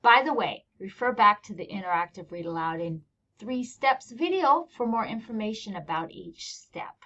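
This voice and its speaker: Lively American woman narrating a tutorial. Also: medium pitch, moderate pace. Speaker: teacher trainer presenting a tutorial. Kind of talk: scripted instructional narration. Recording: dull lo-fi voice-over recording.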